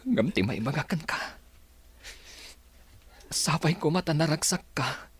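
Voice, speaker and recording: A man's voice: a little high, expressive, measured pace, breathy edge, full character voice. An actor with a northern Philippine accent playing a heartbroken, reproachful young man in an emotional, pleading radio melodrama style. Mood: anguished, pleading.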